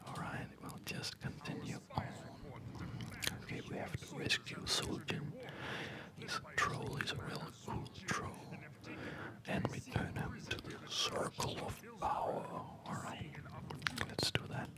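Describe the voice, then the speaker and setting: Whispering man, slow ASMR game commentary. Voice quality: soft breathy whisper. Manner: relaxed, unhurried, chatty. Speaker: host of an ASMR gaming channel. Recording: background game music. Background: loud game music.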